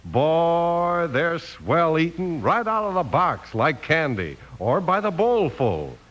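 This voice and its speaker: A man's voice: fairly high pitch, very animated, takes it slowly, a bit bright. A man with an American accent reading as a scripted 1950s radio announcer. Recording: hissy old radio recording.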